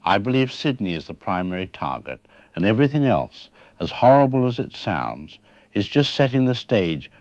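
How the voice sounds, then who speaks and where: A man playing a professor interviewed as an expert in a radio drama, speaking in a measured, analytical way. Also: on the low side, very animated, darkish tone, no room sound. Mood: calm, grave. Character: grave male professor explaining a killer's mind. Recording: dull lo-fi radio sound with faint music.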